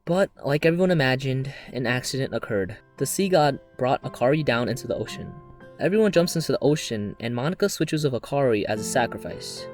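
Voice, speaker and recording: A man's voice: medium pitch, expressive, brisk, darkish tone. A man narrating an anime review in a casual, scripted video-essay style, with joking, mock-exasperated asides. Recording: background music under voice. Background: music.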